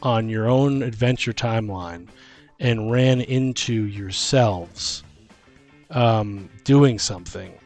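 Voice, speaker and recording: A man's voice: fairly low pitch, takes it slowly, darkish tone. A man in a casual, rambling chat, brainstorming ideas as a tabletop game host. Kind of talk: casual chat about fantasy tabletop games. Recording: music playing behind the voice. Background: music.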